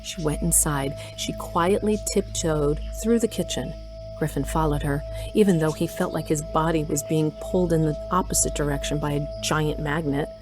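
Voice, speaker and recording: A woman with a low voice narrates a scripted audio drama, telling the story over a clear bed of music. Her delivery is that of a storyteller reading prepared narration. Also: normal speed, rough recording.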